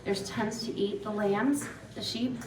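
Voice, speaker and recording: A woman's voice: medium pitch, a little slow, background noise. A woman ranch owner speaking casually to an audience as guest speaker, chatty and rambling. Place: expo hall. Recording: roomy hall from far off with crowd.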